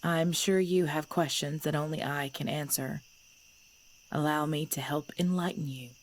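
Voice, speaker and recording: Lady acting as ancient vampire queen, low breathy voice, formal n courtly, asmr roleplay. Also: steady tone, normal speed, a little hiss or hum, lightly acted. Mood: grave, resolute. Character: centuries-old vampire mistress, female, cold ruler turned protective.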